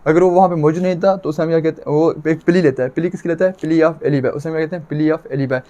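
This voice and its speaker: A man with a Pakistani accent and a fairly high-pitched voice gives an explanatory law lecture. He teaches step by step, restating each point and putting questions that he then answers himself. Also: steady tone, fast, mellow. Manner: didactic, repeats points and asks himself questions.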